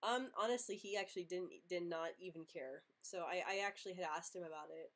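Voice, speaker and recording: A young woman who stutters often, with repeated sounds and blocks, hosting a casual live Q&A, earnest and quick to laugh. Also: fairly low pitch. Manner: earnest, open, laughs easily. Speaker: livestream host answering viewer questions.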